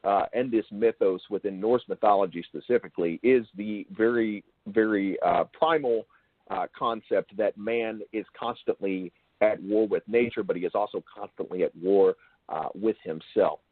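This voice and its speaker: A man hosting an interview, asking long, wordy, bookish questions in a halting way. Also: fairly low pitch, dry recording. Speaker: interviewer and show host. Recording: thin phone-like call audio.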